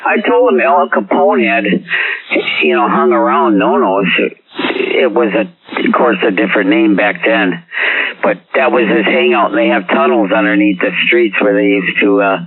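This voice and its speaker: A man's voice: on the low side, big pitch swings, normal speed. A man chatting casually over CB radio, rambling and searching for his words. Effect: CB radio transmission. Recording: tinny CB radio with faint hiss.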